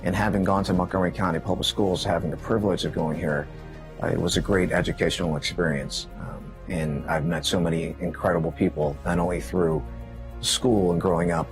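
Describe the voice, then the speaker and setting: A middle-aged man from Maryland speaking reflectively in an interview, pausing often and searching for words. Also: low voice, low-quality recording. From Maryland, United States. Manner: reflective, hesitant with many fillers. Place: indoor gym.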